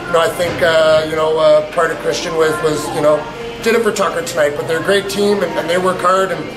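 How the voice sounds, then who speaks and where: A man in his thirties, a hockey head coach, answering post-game media questions in halting, repetitive phrasing. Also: a little high, little pitch movement, roomy sound, over music, low-quality recording. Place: indoors in a small media room before a sponsor backdrop.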